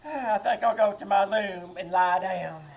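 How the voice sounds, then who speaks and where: A woman playing a sly, deadpan older mother-in-law in a live 1940s-style radio comedy. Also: medium pitch, normal speed, lightly acted.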